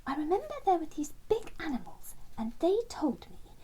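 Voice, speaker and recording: A woman's voice: high-pitched, wildly swooping pitch, normal speed, warm tone, slight background noise, pitched above the performer's own voice, rough recording. A woman voicing an eager, innocent little male wren chick in a storybook, earnest and sometimes hesitant. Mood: triumphant recalling.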